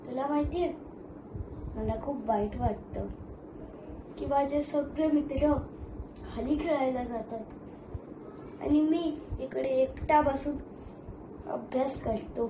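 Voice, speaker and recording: A child with a high voice performs an amateur stage monologue to an audience. The sound is roomy and echoey, with faint noise behind it. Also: slow pace, long pauses. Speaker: competitor in a one-act play contest.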